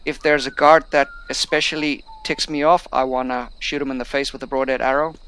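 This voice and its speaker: Bilingual man with a smooth voice. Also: mid-range voice, little pitch movement, a little fast, a bit bright. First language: not English. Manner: reads on-screen tutorial text aloud, then chats. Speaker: gameplay streamer. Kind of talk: casual let's-play commentary.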